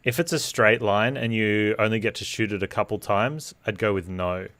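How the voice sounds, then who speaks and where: Low-voiced man streaming a game. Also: fairly even intonation, normal speed, a bit bright. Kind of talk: casual live stream chat with rambling commentary. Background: game music.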